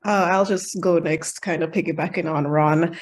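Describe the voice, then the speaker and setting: Jamaican woman with a husky voice. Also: on the low side, fairly even intonation, normal speed. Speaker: panelist, newly matched radiology applicant. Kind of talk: casual panel talk on a video call. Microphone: video call.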